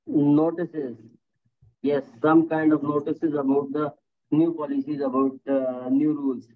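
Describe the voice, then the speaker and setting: A male safety instructor lectures his class over a video call and keeps things encouraging, echoing his students' answers back to them. His voice sits a little high for a man. The audio is thin and tinny, like a phone line. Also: level tone, unhurried. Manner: encouraging, repeats students' answers, frequent "okay" and "very nice". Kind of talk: online lecture with class questions. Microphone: computer mic on a video call.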